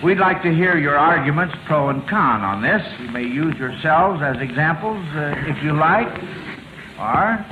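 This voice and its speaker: Male radio quizmaster, vintage. Higher-pitched, hesitant and folksy; dull, echoey recording. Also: lively intonation, unhurried. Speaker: quiz show host. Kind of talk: unscripted quiz show hosting, old-time radio. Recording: dull, noisy, roomy old radio recording.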